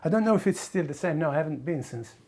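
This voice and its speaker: A man from the Middle East or North Africa talking casually and reflectively, haltingly searching for words. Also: fairly high pitch, expressive, darkish tone. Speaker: documentary interviewee.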